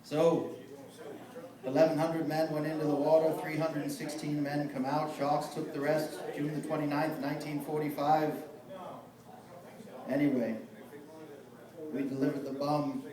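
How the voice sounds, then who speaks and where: A man performing a dramatic monologue as a grim, haunted sailor who survived a wartime shipwreck, telling it in measured, folksy, clipped phrases. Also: fairly high pitch, flat delivery, crowd noise, lightly acted. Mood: somber. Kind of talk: dramatic film monologue performed live from memory. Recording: echoey room, picked up from far off.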